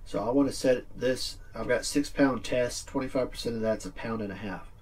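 A middle-aged man with a husky voice giving a casual, plain-spoken instructional demonstration, recorded indoors from a few feet away. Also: medium pitch, moderate pace, distant mic. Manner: plain-spoken, informal explaining. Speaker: fishing video presenter. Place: small indoor room with green screen backdrop.

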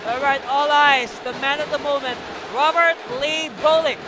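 Male play-by-play caller, very high and excitable, noisy arena broadcast behind him. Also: moderate pace. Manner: energetic, rises to shouts on big plays. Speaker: play-by-play commentator. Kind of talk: live basketball commentary. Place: courtside booth in a packed arena.

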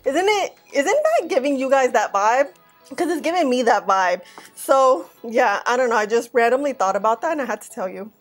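A woman in her thirties talks straight to the camera in a fairly high voice, loud and bubbly as she reviews makeup. Her chat is casual and excitable, with faint music playing underneath. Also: normal speed. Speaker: beauty vlogger. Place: indoor filming set with plain backdrop.

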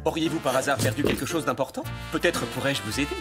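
A man's voice: mid-range voice, fast talker, close to an everyday voice. A man voicing a young, suave, mocking masked hero in a French cartoon, teasing and mock-polite. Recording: loud music behind the voice.

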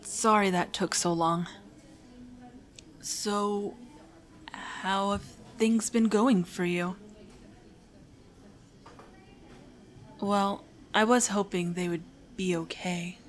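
Woman voicing a nervous young hero trainee, mid-range pitch, stammering, apologetic, anxious rambler, intimate romantic audio roleplay. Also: steady tone, slow, natural voice. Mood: awkward, reassuring. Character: shy, nervous teenage hero-in-training confessing a crush.

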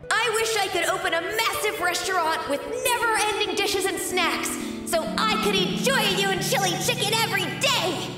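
A woman's voice: extremely high pitch, normal speed, few pauses, over loud music. A woman voicing an eager little boy in a video game memory, echoing and distant. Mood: excited wishing. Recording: long echoing reverb, distant.

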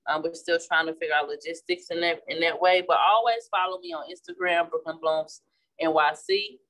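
A woman's voice: on the low side, a little fast, poor audio. A woman in her thirties from Missouri with a Midwestern accent, chatting casually as she demonstrates painting at a flower shop table. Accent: Midwestern American. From St. Louis, Missouri. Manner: chatty, relaxed, restarts often while working. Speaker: florist and class instructor. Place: indoor flower shop worktable.

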